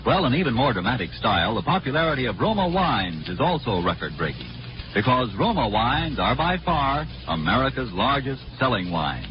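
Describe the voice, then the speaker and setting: A man's voice: medium pitch, sing-song, normal speed. A man reading advertising copy as a 1940s radio announcer, polished, salesmanlike and emphatic. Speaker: commercial announcer. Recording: dull 1940s radio recording with music.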